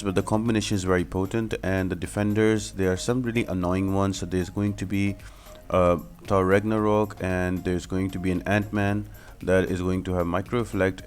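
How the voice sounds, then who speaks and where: A man's voice: deep voice, a little mellow. A man giving a casual game walkthrough, explaining things directly to viewers as the host of a guide.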